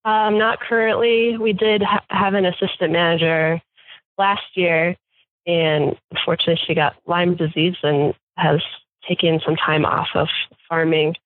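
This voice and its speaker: A woman's voice: on the low side, flat delivery, normal speed, no room sound. A woman farm manager talking casually in an interview, chatty with fillers and restarts. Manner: chatty, many fillers and restarts, laughs often. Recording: tinny phone line, dry.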